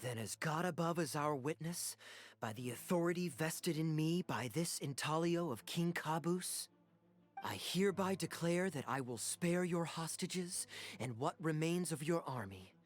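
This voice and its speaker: A man voicing a suave, teasing young swordsman and noble prince in a video game, with smooth, theatrical delivery. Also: fairly high pitch, expressive, unhurried. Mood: solemn, noble. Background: background music.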